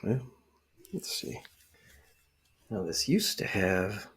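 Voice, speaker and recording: A man speaking softly for ASMR close to the microphone, breathy and often near a whisper, in a hesitant, rambling murmur. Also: sits low, very animated, takes it slowly. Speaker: ASMR creator playing a spy dad showing gear. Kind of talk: ASMR roleplay, soft-spoken.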